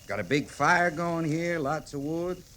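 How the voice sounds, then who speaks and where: Wry, folksy cavalry sergeant. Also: male voice, on the high side, expressive, measured pace, a bit bright. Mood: wry, easygoing. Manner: drawling, laconic, drily understated. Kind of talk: classic old time radio drama acting. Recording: vintage radio recording with noise.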